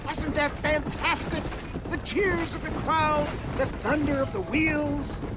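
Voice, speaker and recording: An actor playing a theatrical old swindler in a video game cutscene, speaking in a florid, pompous and gleeful way. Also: male voice, very high-pitched, fairly even intonation, slow, very noisy. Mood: theatrical, enthused.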